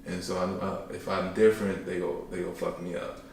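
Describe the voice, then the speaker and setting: Podcast guest, young man, deep voice, easygoing and rambling, recorded in a boomy room. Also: flat delivery, fairly quick, creaky, a little mellow, slight background noise. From Chicago, South Side. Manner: laid-back, rambling, joking, trails off often. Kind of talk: casual conversational interview. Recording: echoey room, mic at a distance.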